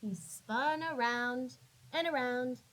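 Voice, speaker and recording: Slow, high-voiced woman reading a storybook. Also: fairly bright tone, low-quality recording. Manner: dramatic, drawn-out words and sound effects for young listeners. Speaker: classroom teacher reading aloud. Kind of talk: expressive children's storybook narration.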